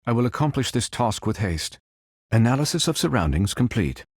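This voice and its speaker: A male actor voicing a calm, stately android superhero in a video game, speaking in formal, measured, precise phrases. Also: mid-range voice, husky, dry recording, studio quality. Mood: calm, analytical. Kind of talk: scripted game voice lines.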